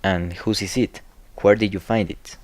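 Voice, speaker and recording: A low-pitched young nobleman, acted by a man, uneasy and intrigued as he tells his tale in a radio drama. His storytelling is dramatic, with slightly foreign phrasing, in a dry, close recording. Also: steady tone, moderate pace, no echo, natural voice. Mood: puzzled. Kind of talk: amateur radio drama, scripted acting.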